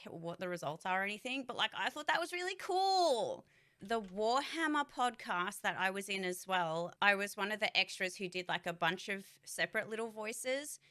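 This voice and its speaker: A young woman talks straight to her stream chat in a bright, forceful voice. She is chatty and blunt, swears freely, and keeps asking her viewers questions as she goes. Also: mid-range voice. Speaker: voice actor and streamer. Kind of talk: casual livestream chat to viewers.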